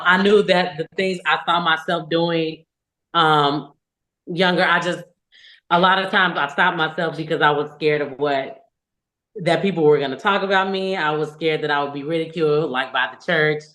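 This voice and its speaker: Young woman singer chatting on a podcast, open and lively, roomy echo around her. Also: deep voice, moderate pace. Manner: candid, animated storytelling. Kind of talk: casual interview chat. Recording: echoey room.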